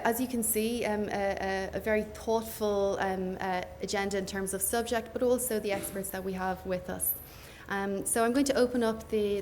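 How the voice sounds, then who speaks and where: A woman with a gentle Irish accent moderates a conference panel into a microphone. She talks softly and hesitantly, with frequent fillers. A faint hiss of noise sits under her voice. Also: mid-range voice. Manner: soft-spoken, hesitant with many fillers. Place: conference hall.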